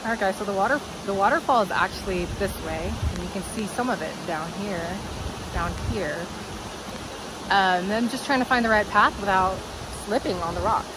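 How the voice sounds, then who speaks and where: A woman in her thirties talks casually to a handheld camera on a trail. Her pitch is average for a woman. The outdoor recording has clear noise behind her voice. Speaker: vlogger. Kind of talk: casual outdoor vlog chat. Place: outdoors on a rocky creek trail. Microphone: handheld camera at arm's length. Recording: noisy outdoor recording with wind and rushing water.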